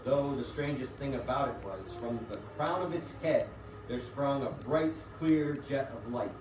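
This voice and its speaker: A man's voice: medium pitch, measured pace, distant mic. Man, scripted theatrical narration, storyteller, mid-range voice, echoey room, noisy recording.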